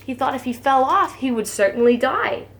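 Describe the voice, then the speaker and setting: A woman, a preschool teacher, reads a children's storybook aloud in an expressive, sing-song rhyming delivery. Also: sits high, moderate pace.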